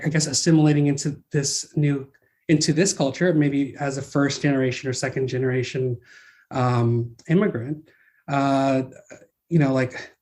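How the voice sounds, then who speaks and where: A man's voice: mid-range voice, darkish tone. A man born in the Philippines, a guest in an interview, speaking casually and thoughtfully, with many fillers and restarts. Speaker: guest, brand founder.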